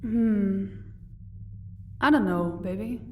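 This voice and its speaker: A woman's voice: mid-range voice, slow pace, dark tone, a little hiss or hum, natural voice. Woman acting composed female vampire, slightly distant. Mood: calm, affectionate. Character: commanding female vampire, protective wife, cold to captives. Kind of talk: audio roleplay acting.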